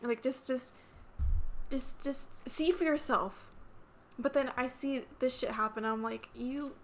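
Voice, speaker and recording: A woman's voice: high voice, measured pace, soft background music. A woman in her late teens, a podcast guest, chatting and laughing often and swearing casually. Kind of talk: casual chat.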